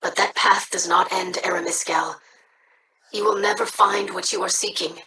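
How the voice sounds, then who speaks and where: A woman's voice: lowish voice, steady tone, normal speed, distant mic, noisy background, lightly acted. An actress playing an earnest, dignified young female alien diplomat who speaks formally. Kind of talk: video game voice acting.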